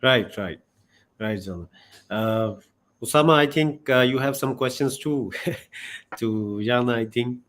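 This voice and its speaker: A man hosting a video call Q&A, unhurried with hesitant fillers. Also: medium pitch, fairly bright tone. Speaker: host.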